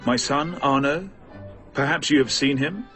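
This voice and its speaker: An actor playing an earnest, devoted Assassin in a video game, with an urgent manner. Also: male voice, medium pitch, unhurried, over music, lightly acted. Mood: worried. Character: earnest male Assassin, anxious devoted father. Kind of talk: video game cutscene acting.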